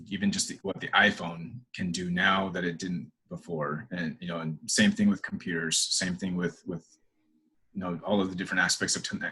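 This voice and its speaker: A man's voice: low voice, a bit bright, husky, poor audio. A middle-aged man answering interview questions in a hesitant, casual way, full of fillers and restarts. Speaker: interview guest, corporate professional.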